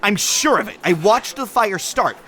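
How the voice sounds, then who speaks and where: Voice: medium pitch, animated, normal speed, pushed and bright, a little hiss or hum. An actor playing a young, defensive and sarcastic rookie space ensign in a sci-fi audio drama, with a casual way of talking.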